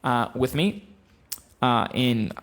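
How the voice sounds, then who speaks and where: A man in his thirties from Argentina, with an Argentine accent, giving a blunt, animated guitar lesson on a live stream from a small home room into a close stand mic. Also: medium pitch, slow pace. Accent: Argentine Spanish. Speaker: guitar teacher. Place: small room with bookshelves, indoors. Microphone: studio mic on stand, close.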